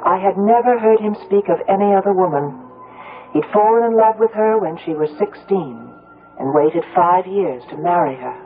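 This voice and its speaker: An actress plays a bereaved, reflective woman recounting her life in 1970s radio drama. Her phrasing is measured and literary. The old broadcast is thin and phone-like, with clear music behind it. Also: fairly low pitch, close to an everyday voice. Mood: wistful. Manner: measured, literary first-person storytelling. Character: Una, grieving, reflective grown daughter telling her story. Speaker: lead actress and narrator.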